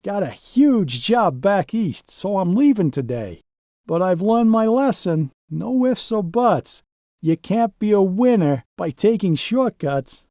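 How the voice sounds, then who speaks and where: A man puts on a brash, bragging storybook dump truck who wants to be the boss. The voice sits well above a plain speaking voice and bounces around in pitch. The recording is dry and close. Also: sing-song, slow pace, dark tone, dry recording, full character voice. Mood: earnest. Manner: brash, bragging, rhyming sing-song. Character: boastful bossy male cartoon dump truck.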